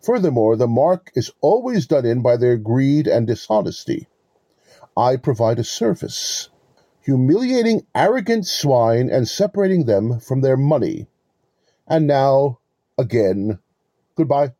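Smug, pompous male swindler for an audio play, played by a man: very dark, husky tone, swooping intonation, wordy mock courtesy. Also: mid-range voice, sing-song, measured pace, no echo. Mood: smug, self-assured. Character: smug, verbose male con artist posing as police lieutenant. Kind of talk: two-person audio play acting.

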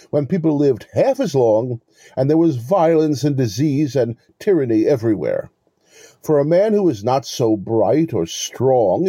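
Man acting a smug, dark-voiced, husky con artist. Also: mid-range voice, dry recording. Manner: pompous, mock-courteous, lecturing. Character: smug, verbose male con artist posing as police lieutenant. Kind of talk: two-person audio play acting.

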